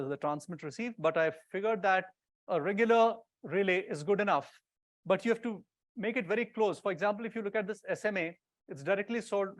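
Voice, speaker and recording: A man gives an informal technical presentation. He explains things in a hands-on way, often pausing to check that it makes sense. The sound is dry, with very little room in it. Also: high voice, no room sound. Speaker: conference presenter, radio builder.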